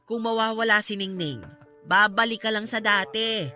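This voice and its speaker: A woman's voice: medium pitch, fairly even intonation, moderate pace, pushed and bright, soft background music, natural voice. A woman with a Filipino accent acting in a radio drama as a caring, outspoken friend and mother, emphatic and coaxing. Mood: earnest persuasion.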